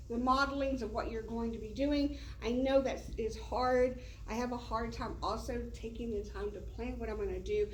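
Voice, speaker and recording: A woman's voice: a little high, slight background noise. A woman teacher talking casually to colleagues in a meeting room, loose and chatty with many fillers. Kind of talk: casual professional development talk. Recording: roomy echo, heard from a distance.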